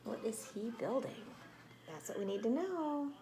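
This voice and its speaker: A woman reading a picture book aloud to children like a teacher, expressive and dramatic, with exclamations and prompting questions. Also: fairly high pitch, moderate pace, a little hiss or hum. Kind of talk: read-aloud storytelling with questions to young listeners.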